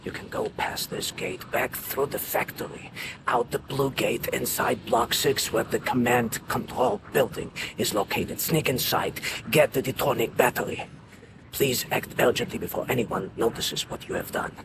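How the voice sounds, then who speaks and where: An actor voicing an eccentric old male inventor who talks in a hushed, conspiratorial way in a video game. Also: mid-range voice, moderate pace, natural voice. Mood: hurried. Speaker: inventor in a secret society. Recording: gravelly voice in a noisy game mix.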